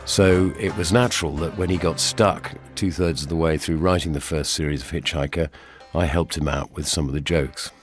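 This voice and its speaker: A man's voice: extremely low pitch, fairly even intonation, moderate pace, faint music behind. An older man with a British accent reading a scripted radio documentary as its presenter. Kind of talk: scripted narration.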